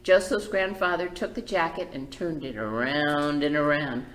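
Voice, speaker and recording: A woman reading a picture book aloud to children as a library storyteller, expressive and emphatic, with chatty asides. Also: deep voice, moderate pace, echoey room, rough recording.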